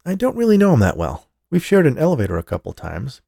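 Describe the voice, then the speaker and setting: Man playing a puzzled young artist, raised pitch, gravelly, mellow, dry close sound. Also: huge pitch swings, fast, dry recording, full character voice. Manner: startled and puzzled. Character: bewildered young male artist.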